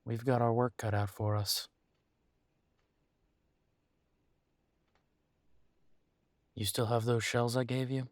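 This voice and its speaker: A man playing a protective, weary but determined werewolf pack leader in an intimate roleplay audio drama, speaking deliberately with pauses. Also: medium pitch, fairly even intonation, no room sound, natural voice. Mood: grave, cautious.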